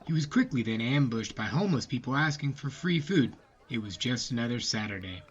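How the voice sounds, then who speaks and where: A man's voice: medium pitch, lively intonation, brisk. A man hosting a podcast and telling a scripted story in a casual narrator's style. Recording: slightly muffled, music behind. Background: music.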